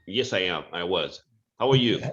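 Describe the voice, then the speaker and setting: A man's voice: deep voice, animated, moderate pace, fairly bright tone. A man from Uganda, introducing himself hesitantly with many fillers on a video call. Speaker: safari lodge owner, meeting guest. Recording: dull lo-fi call audio.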